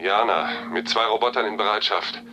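A man's voice: on the low side, fairly quick, lightly acted, rough recording. An actor playing a dutiful spaceship security crewman in a radio drama, reporting in a clipped, matter-of-fact manner. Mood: businesslike. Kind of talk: German radio drama acting.

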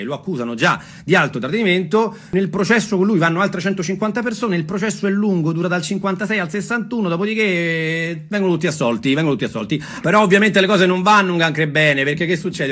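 Guy doing theatre-style storytelling, husky voice a bit on the high side, emphatic, repeats himself. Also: fairly bright tone. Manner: emphatic, repeats phrases, puts rhetorical questions to listeners. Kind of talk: theatrical spoken storytelling, semi-improvised.

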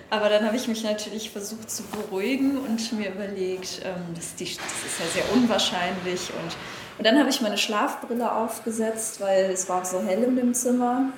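A woman's voice: mid-range voice, normal speed, a crowd in the background. A woman casually recounting a personal experience in spontaneous, everyday speech. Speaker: hotel guest. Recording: echoey room with ambient noise.

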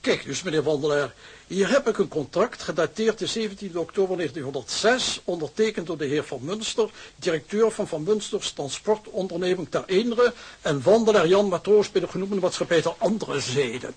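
An actor in a radio drama playing a fussy, pedantic bookkeeping clerk with formal, bureaucratic and slightly hesitant speech. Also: male voice, high-pitched, animated, a little fast, husky, heavily acted. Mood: apologetic, then droning formality. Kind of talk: Dutch radio drama acting.

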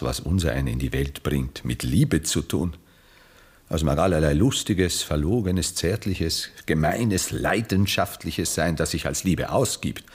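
An aging Viennese gentleman in a classic radio drama. His voice is very low and slightly husky. He speaks in deliberate, rhetorical phrases, as a cool philosopher who keeps people at a distance. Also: full character voice. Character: aging detached aristocratic Viennese man, coolly philosophical bachelor. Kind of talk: 1960s German radio play acting.

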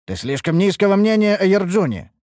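A man's voice: sits high, sing-song, brisk, a little mellow, no echo, studio quality, voice pushed up. A man voicing a sardonic, bossy mage master with a mocking, persuasive manner in a fantasy audiobook. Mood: teasing, persuasive.

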